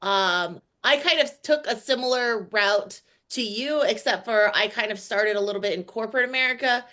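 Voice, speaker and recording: Woman, podcast host, upbeat, affirming, casual fillers, higher pitch. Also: moderate pace, bright, forceful tone. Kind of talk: casual interview hosting.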